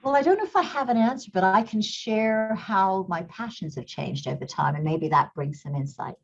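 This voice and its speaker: A woman's voice: medium pitch, lively intonation, moderate pace, creaky, slightly rough, highs cut off. A middle-aged woman who grew up in Kenya, with a slight English accent, talks earnestly as an interview guest and repeats words while she thinks. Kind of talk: reflective interview talk.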